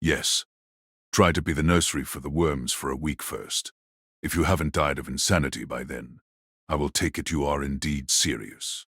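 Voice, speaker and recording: A man voicing a wicked, cold old sorcerer patriarch in an audiobook drama, speaking in a slow, mocking, condescending way. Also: very deep voice, fairly even intonation, a bit bright, husky, no room sound, studio quality, heavily acted. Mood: condescending, calculating.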